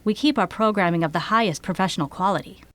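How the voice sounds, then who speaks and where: An actress playing a businesslike radio program director in an audio drama, firm and matter-of-fact. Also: female voice, medium pitch, fast talker, fairly bright tone, lightly acted. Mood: firm, official. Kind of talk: audio theater acting.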